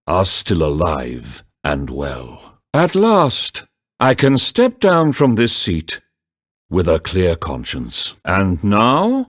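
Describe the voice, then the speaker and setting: A stern, elderly medieval judge for a video game. His pronouncements are slow, formal and pompous, with very dramatic swings in pitch. He is easily flustered, and the recording is dry and close. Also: no room sound, exaggerated voice. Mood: relieved, reflective. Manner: formal, pompous, slow courtroom pronouncements, sputtering when surprised. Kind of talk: theatrical video game voice acting.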